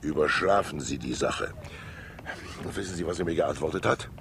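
An actor playing a fat, wily, talkative older state councillor in a 1960s radio crime drama, jovial and theatrical, rambling with asides and pauses. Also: male voice, a bass voice, animated, creaky, full character voice. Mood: mocking. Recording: hissy 1960s radio recording.

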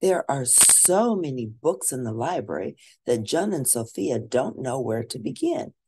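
Low-voiced woman reading a storybook, very animated. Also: noisy background. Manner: expressive, drawn-out emphasis for young listeners. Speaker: storybook reader. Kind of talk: animated children's storybook read-aloud.

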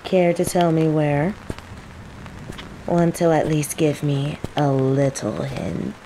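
A woman playing a cocky, teasing female mage who controls plants, in a scripted roleplay audio drama, with an unhurried, playful drawl. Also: deep voice, poor audio. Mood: cool, probing. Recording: steady rain ambience noise. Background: falling rain.

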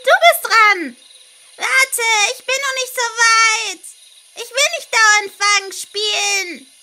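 A woman's voice: very high-pitched, slow, noisy background, pitched above the performer's own voice. A woman voicing playful, eerie child ghosts in an audio horror story, in a teasing sing-song manner. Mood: playful, excited. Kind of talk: audio story character acting.

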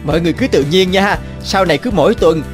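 A man's voice: high voice, fast, music in the background, close to an everyday voice. A man voicing an arrogant, boastful rich young man in a cartoon, with a swaggering manner. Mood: breezy. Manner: breezy, swaggering, scornful. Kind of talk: animated moral-tale dubbing.

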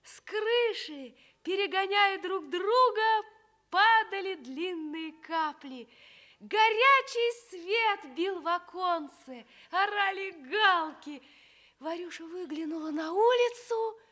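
A woman narrating a children's tale for radio with deliberate, expressive phrasing. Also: very high voice. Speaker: storyteller.

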